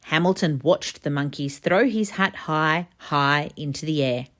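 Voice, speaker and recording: A woman reading a children's storybook aloud, expressive and emphatic in her narration. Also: sits low, a little slow. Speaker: storybook reader.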